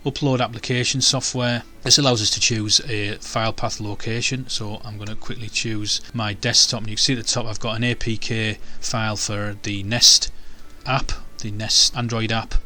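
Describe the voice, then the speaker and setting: A man's voice: fairly low pitch, flat delivery, normal speed, pushed and bright. A man presenting a product demonstration, explaining it informally step by step as a technical tutorial.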